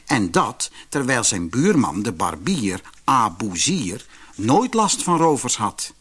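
Expressive Dutch man narrating, lively pitch. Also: takes it slowly, breathy edge. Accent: Dutch from the Netherlands. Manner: expressive storytelling. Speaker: audiobook narrator. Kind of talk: scripted fairy-tale narration.

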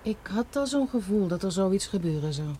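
Pert female secretary, radio drama, outspoken, with animated intonation. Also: medium pitch, a little fast, husky. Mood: pert, teasing.

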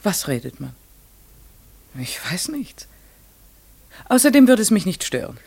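A woman's voice: medium pitch, sing-song, a little slow, vocal fry, darkish tone, slightly rough, dry recording, exaggerated voice. An actress playing a polite, curious young lady visitor in a German radio play, hesitant and shaken but brave, often near a whisper. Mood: polite, puzzled.

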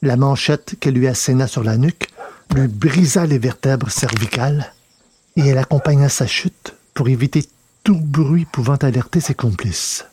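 A man narrating a scripted dramatic story in a measured, literary delivery. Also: mid-range voice, dark tone, breathy edge. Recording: noticeable background noise.

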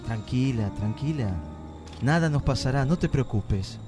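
A man's voice: medium pitch, animated, unhurried, music in the background, lightly acted. A man playing a reassuring, romantic young suitor in a radio play. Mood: soothing, romantic. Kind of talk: amateur radio play dialogue.

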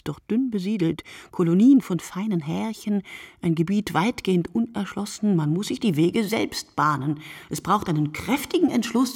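A woman playing a weary, sleepless night thinker in a literary radio play, speaking in measured, contemplative fragments with a hushed tone. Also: on the low side, gravelly, breathy edge, dry recording, studio quality, exaggerated voice. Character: weary, sleepless woman narrating her night-watch thoughts.

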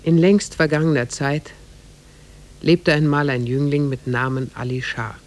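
A man playing a measured storyteller, narrating an old oriental fairy tale in a 1950s radio drama. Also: on the high side, steady tone. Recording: faintly noisy 1950s radio recording.

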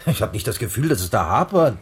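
An actor playing a calm, middle-aged circus director with a husky voice in a radio play. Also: male voice, fairly low pitch, fast, full character voice. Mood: dry, sceptical.

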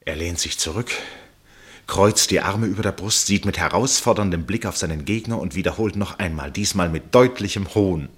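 First-person narrator, low-pitched, husky, measured, suspenseful, deliberate pauses, literary radio play. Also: male voice. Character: absorbed male first-person narrator watching a tense match.

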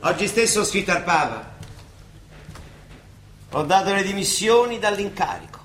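An actor playing a blunt, ironic, weary monsignor with a Roman accent in a theatrical radio drama. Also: male voice, high-pitched, sing-song, normal speed, a bit bright, echoey room, a little hiss or hum. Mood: weary, resigned. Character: gruff, sardonic, weary Roman monsignor and judge. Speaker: stage actor.

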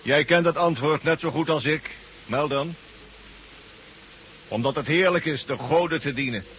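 A man's voice: a little high, moderate pace, fairly bright tone, lightly acted. An actor playing a self-assured, devout henchman of a false god in a science-fiction radio drama. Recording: noisy old radio recording.

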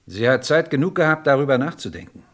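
An actor playing an insistent, methodical police inspector in a radio crime drama, questioning formally and probingly. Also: male voice, medium pitch, very animated, quick pace, a bit bright. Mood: brooding, reasoning.

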